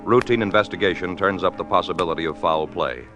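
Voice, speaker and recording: A man's voice: low voice, fast talker, music in the background. A man with an American accent delivering emphatic, scripted announcements in 1950s radio style. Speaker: announcer and advertising pitchman. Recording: vintage 1950s radio recording.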